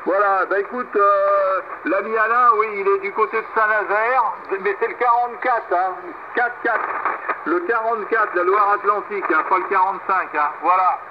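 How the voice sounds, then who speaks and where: A man's voice: high voice, normal speed. A man chatting hesitantly as an amateur operator over single-sideband shortwave radio. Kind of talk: casual radio exchange with call signs. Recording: muffled shortwave radio, loud static. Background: loud radio noise.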